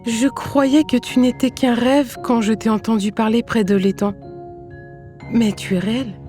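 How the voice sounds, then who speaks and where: A woman's voice: a little high, steady tone, unhurried, mellow, faint music behind, lightly acted. A woman voicing a brave, dreamy young maiden in a fairy-tale cartoon, speaking with earnest wonder. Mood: amazed.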